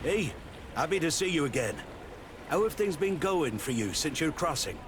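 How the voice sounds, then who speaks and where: An actor voicing a chummy, easygoing sailor in a video game with a familiar, informal manner. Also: male voice, a little high, very animated, normal speed, noisy background. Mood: friendly, wry.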